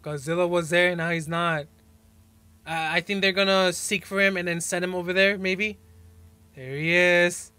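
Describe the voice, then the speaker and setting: High-voiced young man reacting casually. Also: fairly even intonation, moderate pace, pushed and bright, clear, resonant tone, no room sound. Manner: slangy, exclamatory. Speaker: reaction video host. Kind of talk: casual reaction commentary, reading on-screen captions aloud. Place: small bedroom, indoors. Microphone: desk microphone up close, headphones on.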